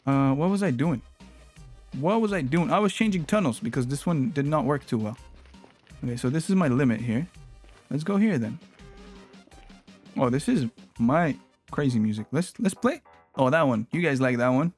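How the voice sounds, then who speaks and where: A man's voice: mid-range voice, normal speed, pauses often, faint music behind. A young man whose first language is Spanish, chatting casually and playfully with his live-stream chat. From Latin America. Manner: animated, playful, teasing with chat.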